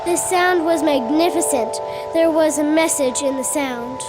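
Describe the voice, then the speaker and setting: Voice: high voice, a little slow, over loud music. A child of about nine or ten reading scripted storytelling narration in a school radio drama.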